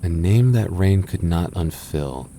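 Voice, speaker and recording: A soft-spoken young goth boyfriend, as a man plays him, deep and unhurried, who stammers a little while he reassures. The ASMR roleplay has a faint hiss under it. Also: animated. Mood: puzzling. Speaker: voice actor.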